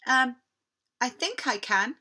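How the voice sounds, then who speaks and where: A woman's voice: high voice, expressive, takes it slowly, pushed and bright, voice pushed up, full character voice. A woman voicing a sulky, greedy young boy with a snappish, know-it-all manner in a storybook. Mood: hesitant.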